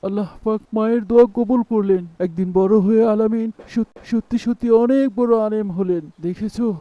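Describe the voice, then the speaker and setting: A man's voice: high voice, steady tone, fairly quick, warm tone, dry recording, dull audio. A man narrating a scripted cartoon story as a moralizing storyteller.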